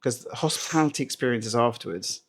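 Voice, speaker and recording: Podcast host guy, mid pitch, kinda hesitant, casual questions. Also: animated, fast, breathy edge. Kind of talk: casual interview chat.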